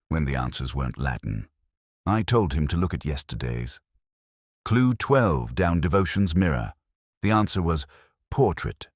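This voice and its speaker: A man as a very deep, melancholy inspector. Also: a little mellow, no room sound, clean studio sound, exaggerated voice. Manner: measured, reflective, wry. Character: melancholy, erudite older male English police inspector, reminiscing. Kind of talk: slow literary first-person detective narration.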